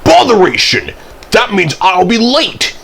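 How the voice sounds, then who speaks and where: Man as a blustering, impatient storybook engine, pitched up. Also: lively intonation, takes it slowly, darkish tone, a little hiss or hum. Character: proud, impatient, blustering male tank engine.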